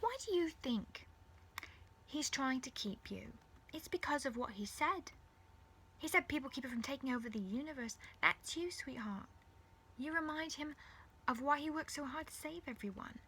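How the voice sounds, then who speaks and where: Woman as comforting mother, middle-aged, tender, earnest, raised pitch, story drama, noisy recording. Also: animated, moderate pace, exaggerated voice. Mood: consoling. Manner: soothing, gently earnest.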